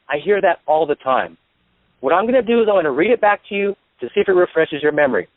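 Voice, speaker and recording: A man with a fairly high voice gives practical sales training on a conference call. He explains things plainly, one step at a time. The sound is a dry, narrow telephone line. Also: dry recording. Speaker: insurance sales agent and trainer, guest speaker. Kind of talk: instructional sales talk on a call. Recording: dry, tinny phone line.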